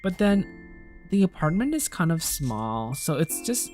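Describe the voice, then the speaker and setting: Low-voiced chatty woman streamer. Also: sing-song, a little slow, a little mellow. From Indonesia. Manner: chatty, thinks aloud, reads game lines and viewer comments aloud. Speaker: streamer playing a cozy game. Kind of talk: casual let's-play chat. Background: faint game music.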